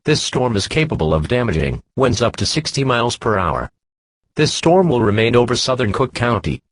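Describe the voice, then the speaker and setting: A man's voice: medium pitch, sing-song, moderate pace, a little hiss or hum, lightly acted. A man as a weather service alert voice, reading a scripted warning in an impersonal, formal way. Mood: impersonal, official.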